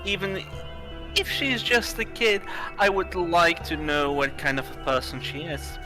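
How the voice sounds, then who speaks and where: A streamer reading a visual novel aloud and commenting on it, with a halting, unhurried delivery. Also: medium pitch, music in the background. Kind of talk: livestream game narration, read aloud.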